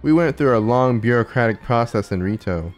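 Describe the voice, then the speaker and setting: A man's voice: mid-range voice, expressive, a little fast. A man making casual, joking commentary over a video game he is playing. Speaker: game streamer. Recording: faint game music behind.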